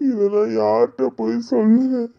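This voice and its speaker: A man's voice: extremely high pitch, little pitch movement, normal speed, vocal fry, dull audio, exaggerated voice. A man acting a heartbroken young lover in a dramatic monologue, pleading with anguish and repeating his lines. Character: heartbroken young man lamenting lost love.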